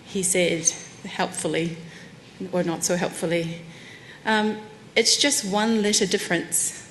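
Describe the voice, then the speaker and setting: A woman's voice: fairly low pitch, measured pace, slight background noise, poor audio. A woman, giving a candid, self-deprecating keynote talk from a lectern on a conference stage. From Samoan heritage, New Zealand. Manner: warm, candid, self-deprecating with light laughs. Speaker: conference speaker. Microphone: lectern microphone.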